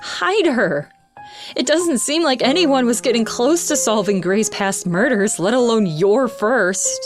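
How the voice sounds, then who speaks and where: A fairly high female voice from an actress over background music. She is a young student journalist in an audio drama, blunt and determined, standing her ground. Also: a little slow, lightly acted. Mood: questioning.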